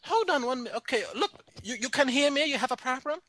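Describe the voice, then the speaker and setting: A man's voice: extremely high pitch, expressive, fast, bright, forceful tone, no room sound, pitched above the performer's own voice, exaggerated voice. A man voicing an irate woman restaurant owner who speaks broken English and stammers out insults. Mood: insistent. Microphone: phone call.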